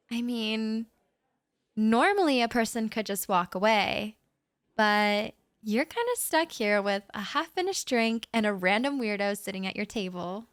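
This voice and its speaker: Shy overthinking writer girl, woman voicing her, stammery little laughs, slowish, dry and close. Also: a little high, no echo. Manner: nervous rambling with stammers and soft laughs. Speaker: audio roleplay performer. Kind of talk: roleplay audio chat, spoken to the listener.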